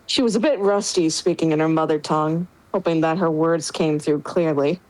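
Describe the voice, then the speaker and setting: A woman's voice: low-pitched, normal speed, a little mellow, no room sound, rough recording. A woman reading scripted story narration in a dramatic reading. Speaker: reader in a group dramatic reading.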